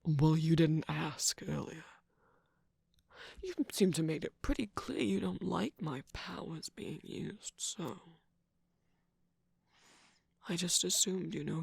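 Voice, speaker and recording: Performer acting out smug weary trickster villain, very husky and whispery, slow teasing drawl, mock-grand, close dry sound, ASMR game-character roleplay. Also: medium pitch, no room sound, exaggerated voice. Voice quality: breathy, husky, creaky, often dropping into whisper.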